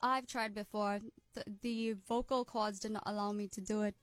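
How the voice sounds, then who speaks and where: A woman's voice: medium pitch, fairly even intonation, no room sound. A young woman from South Africa with an American-sounding accent, a cheerful musician guest on a radio interview who laughs easily. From South Africa, Durban. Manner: cheerful, laughs often, quick asides.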